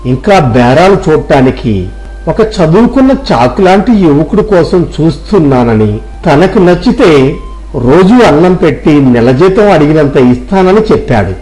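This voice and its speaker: A man voicing a kindly, fatherly village temple priest who gently advises in a storybook tale. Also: on the high side, very animated, measured pace, poor audio. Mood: encouraging. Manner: gentle, advising, addresses listener as child. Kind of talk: storybook character dialogue.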